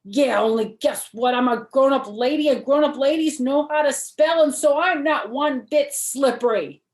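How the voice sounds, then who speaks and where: Older woman playing a bossy little storybook girl, raised pitch, emphatic, indignant, childish phrasing, echoey room. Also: moderate pace, close to an everyday voice. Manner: emphatic, childish grammar, insistent. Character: bossy, indignant kindergarten girl insisting she's grown-up.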